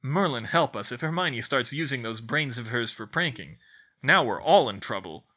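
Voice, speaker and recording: A man voicing excitable, mischievous teenage twin brothers in a loud, exclamatory way for a storybook reading. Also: mid-range voice, normal speed. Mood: mock respect. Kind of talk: audiobook character voice.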